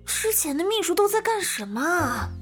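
Woman playing a prim stubborn young secretary girl, high animated voice, radio drama with music under it. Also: normal speed, heavily acted. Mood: puzzled, dutiful. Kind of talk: modern radio drama acting. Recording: music behind the voice.

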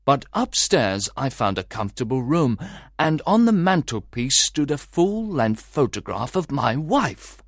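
A man's voice: medium pitch, very animated, measured pace, few pauses, no echo, studio quality. A man playing a worried, suspicious husband who recounts his troubling story to a detective in a dramatised audiobook. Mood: troubled, recounting.

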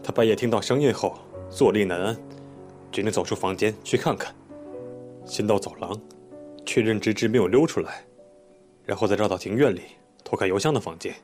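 An actor playing a composed male police detective in an audio drama, explaining things in a measured, methodical, polite way. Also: fairly low pitch, a little mellow, soft background music, natural voice. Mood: matter-of-fact. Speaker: police investigator questioning a witness.

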